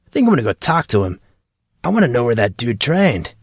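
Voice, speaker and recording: A man's voice: medium pitch, big pitch swings, a little fast, breathy edge, dry recording, clean studio sound. A man voicing a laid-back teenage boy who is keen on martial arts and talks in casual dude-and-bro slang.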